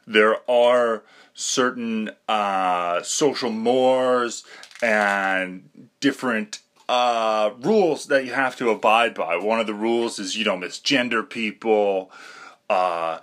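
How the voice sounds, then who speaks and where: A man's voice: fairly low pitch, takes it slowly, fairly bright tone. A young man talking through a rambling, self-deprecating podcast monologue, full of fillers and restarts. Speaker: podcast host.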